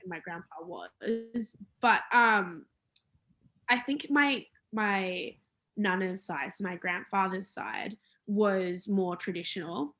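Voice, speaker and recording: A woman's voice: medium pitch, slow, fairly bright tone. A young woman talking casually about her family's history, hesitant with many fillers and restarts. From Australia. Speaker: interviewee.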